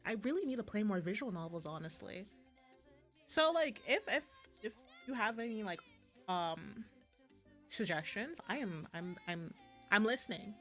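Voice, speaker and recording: A woman is chatting on a casual game stream with music playing faintly behind her. Her voice sits at an average pitch for a woman. She rambles and gushes, breaking off her own sentences and starting new thoughts as she goes. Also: expressive, moderate pace, a bit bright. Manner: rambling, gushing, self-interrupting. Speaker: livestreamer playing visual novels. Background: background music.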